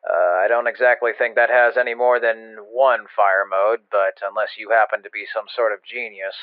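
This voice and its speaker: A man's voice: on the low side, fairly even intonation, moderate pace, smooth, dry recording, narrow, tinny audio. A man playing an impatient, sardonic military instructor who gives casual game tutorial directions over a radio. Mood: wry. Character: impatient, wry male military training instructor. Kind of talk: video game tutorial narration. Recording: thin, dry radio-like band-limited sound.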